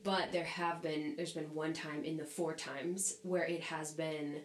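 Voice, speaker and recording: A young adult woman, a singer, chatting casually as a podcast guest, slightly nasal from a recent sickness, animated and quick to laugh. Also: low-pitched, reverberant, slight background noise, low-quality recording. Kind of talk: casual conversation.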